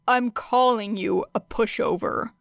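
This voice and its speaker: A woman voicing a grumpy, strict male teacher with a dry, curt, deadpan manner in an audiobook. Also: a little high, a little slow, gravelly, no echo. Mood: deadpan sparring. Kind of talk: acted audiobook dialogue.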